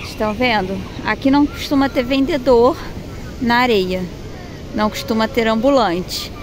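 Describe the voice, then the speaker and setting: A woman's voice: a little high, normal speed, low-quality recording. A woman in her thirties with a Brazilian Portuguese accent, chatting casually to the camera as a travel vlogger, repeating words for emphasis. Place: outdoors by a seaside town, windy. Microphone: phone camera held at arm's length. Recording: outdoor phone audio with wind and traffic noise.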